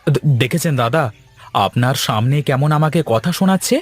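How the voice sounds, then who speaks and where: A man's voice: medium pitch, animated, fairly quick, natural voice. A man acts out a poor young village husband in a cartoon fable. He is crafty but deferential, coaxing and pleading in a mid-range voice. Music plays behind him. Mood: meek, ingratiating. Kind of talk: cartoon moral-tale acting.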